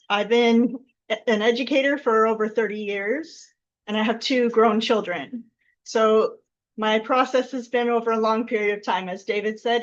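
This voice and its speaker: A woman's voice: a little high, lo-fi sound. A woman in her fifties from California, a veteran educator introducing herself casually and light-heartedly on a video call. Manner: light-hearted, laughs between lines.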